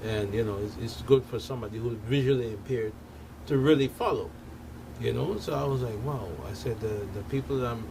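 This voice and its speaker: A man talking casually as a guest in a discussion, halting and often tagging phrases with filler. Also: mid-range voice, vocal fry. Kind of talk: casual conversational talk. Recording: noticeable background noise, room ambience.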